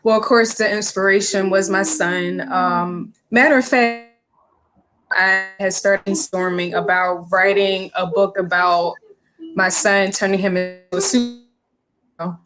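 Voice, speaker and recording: A woman children's book author answering interview questions, earnest and halting with many pauses and fillers. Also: mid-range voice, steady tone, poor audio. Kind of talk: interview answers on a video call.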